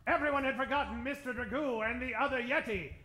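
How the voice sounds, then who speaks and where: A man's voice: very high voice, quick pace, pushed and bright, slight background noise. A man hosting and narrating an old-time radio serial with dramatic announcer delivery. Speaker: host and narrator. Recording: roomy stage recording, music behind.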